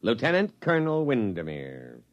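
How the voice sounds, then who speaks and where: An actor playing an officious, earnest, humorless lieutenant colonel in a 1950s radio drama, formal and military. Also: male voice, mid-range voice, wildly swooping pitch, moderate pace, lo-fi sound. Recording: noisy 1950s radio broadcast.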